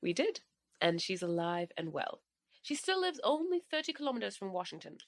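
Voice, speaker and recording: An actress playing a courteous, upbeat interviewer in an audio drama, speaking in a polite, measured way. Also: female voice, medium pitch, big pitch swings. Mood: courteous, encouraging. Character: courteous, upbeat female interviewer arranging a reunion.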